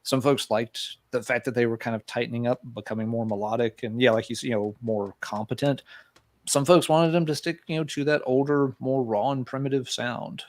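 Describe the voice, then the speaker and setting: A man hosting a podcast in relaxed casual chat, leaning on fillers like "you know" and "uh". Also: medium pitch, fairly quick. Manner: easygoing, fills with "you know" and "uh". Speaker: podcast host. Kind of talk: casual chat about metal albums.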